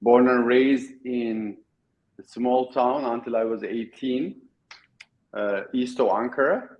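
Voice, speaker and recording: A middle-aged man with a Turkish accent, a guest in an interview, talking in a halting way with many restarts. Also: medium pitch, dull audio. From Turkey. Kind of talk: casual interview talk.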